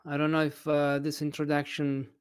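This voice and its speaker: A man from Italy with an Italian accent, an English teacher chatting as a podcast guest in a hesitant, expressive way. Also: fairly high pitch, moderate pace, clean tone. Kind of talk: casual interview chat.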